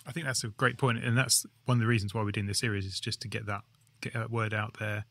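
A man's voice: lowish voice, flat delivery, fast talker, a bit bright, dry recording. A man hosting a podcast interview, asking short questions in a friendly, informal way. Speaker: podcast host.

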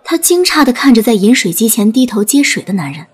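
A woman's voice: on the high side, very animated, fast talker, slightly rough. A woman narrating a scripted dramatic audiobook story. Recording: music bed under narration.

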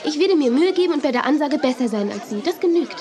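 A woman's voice: high-pitched, animated, fast talker, crowd noise. A woman playing a polite, conciliatory, good-natured young woman in a children's audio drama.

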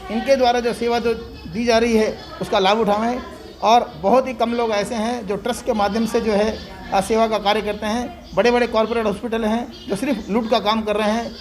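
A middle-aged man making a formal public appeal to camera, often padding his phrases with fillers. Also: high-pitched. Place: indoor clinic room in front of banner.

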